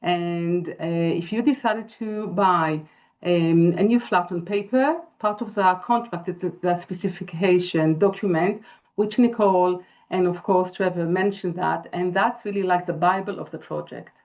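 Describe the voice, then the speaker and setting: Israeli woman with a low, dull-sounding voice. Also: a little mellow. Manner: earnest, halting, many fillers. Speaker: interior designer presenting. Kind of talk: webinar presentation. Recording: dull lo-fi video call audio.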